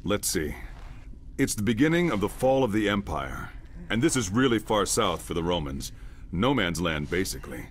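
An easygoing historian soldier in a game cutscene. He muses in a casual, slightly hesitant way, with a lowish voice and lively intonation. Faint ambience sits behind him. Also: moderate pace, slight background noise. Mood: musing. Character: musing male soldier-historian, easygoing, bookish. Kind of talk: video game cutscene acting.

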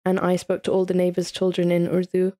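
A woman's voice: fairly low pitch, monotone, fairly quick, dark tone. A twenty-two-year-old woman from England, a podcast guest chatting casually and laughing often.

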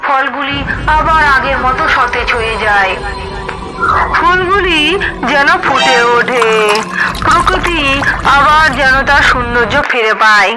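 A woman narrates a cartoon fairy tale in an even storytelling manner. Her voice sits high. Loud music runs under everything she says. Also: measured pace, pauses often, poor audio.

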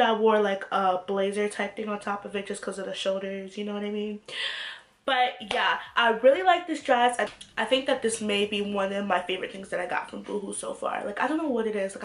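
A woman's voice: mid-range voice, echoey room. A young woman with a cold, chatting to camera in a clothing haul video and gushing about each piece. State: congested from a cold. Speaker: fashion haul vlogger. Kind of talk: casual haul chat to camera. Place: small room, glittery backdrop.

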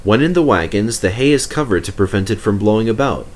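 A man's voice: fairly low pitch, expressive, fast talker, a little mellow, a little hiss or hum. A man reading a scripted children's story aloud as narrator. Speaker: storybook reader.

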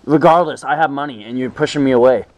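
A man's voice: medium pitch, quick pace, darkish tone. A man making a casual phone call in a blunt, informal way. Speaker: prank caller.

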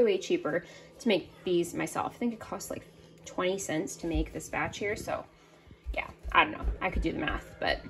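Chatty woman rambling over kitchen noise. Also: medium pitch. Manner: rambling, offhand self-commentary. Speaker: home cooking vlogger. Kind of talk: casual chatty narration while cooking. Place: home kitchen, indoors. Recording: clear background noise, kitchen clicks.

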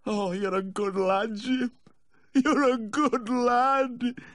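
A man's voice: on the high side, very animated, mellow, dry recording, clean studio sound, voice pushed up. A man voicing a tearful, drunken little Yorkshire dog lover, slurring and pleading in a sentimental audiobook character voice. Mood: grateful, weepy. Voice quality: slurred, whining. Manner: pleading, sentimental, drawn-out wailing.

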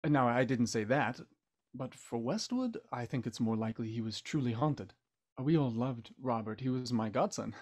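A man's voice: medium pitch, expressive, normal speed, a little mellow, lightly acted. Man playing a breezy, blunt old army doctor. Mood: matter-of-fact. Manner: breezy, matter-of-fact, folksy. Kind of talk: audio drama acting.

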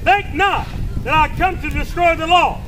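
A man's voice: very high-pitched, moderate pace, noisy background. A man declaiming scripture outdoors as a reader at a street preaching session. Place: outdoors at a waterfront park walkway.

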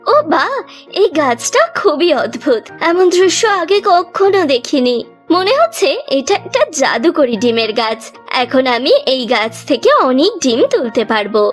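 A gentle, wide-eyed young mermaid fairy, acted by a woman, speaks earnestly in a very high, lilting voice. It is a cartoon character with music playing behind. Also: lively intonation, a little slow. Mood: amazed, delighted.

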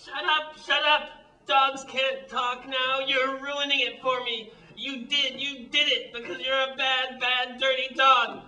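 A man's voice: very high-pitched, flat delivery, a little slow, bright, forceful tone, distant mic, heavily acted. A man voicing a ranting, sadistic office boss in a comedic puppet show, bullying and theatrical, given to gleeful cackling. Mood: domineering. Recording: roomy echo, off the mic.

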